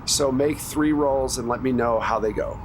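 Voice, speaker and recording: Man, game master, medium pitch, conversational improvised narration, rules talk, noisy background. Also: normal speed. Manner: conversational, narrates in second person. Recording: noisy recording with clear background noise.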